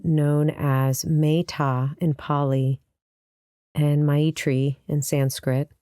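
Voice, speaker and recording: A woman leading a guided meditation as a teacher, speaking in a gentle, soothing, unhurried manner. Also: low-pitched, clear, resonant tone, no echo, studio quality.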